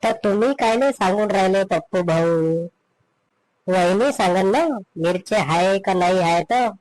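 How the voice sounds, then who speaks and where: A man's voice: high-pitched, a little slow, darkish tone, dry recording, rough recording. A man playing a shameless, touchy, meddling neighbour in a comedy cartoon, with a Vidarbha Marathi accent. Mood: nosy, offended. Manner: meddling, pestering.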